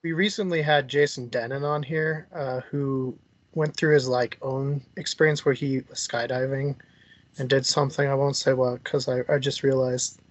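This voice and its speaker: A man with a medium-pitched voice hosts an interview. He is casual and a little hesitant, phrasing things loosely as he goes. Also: steady tone, normal speed. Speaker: interview host.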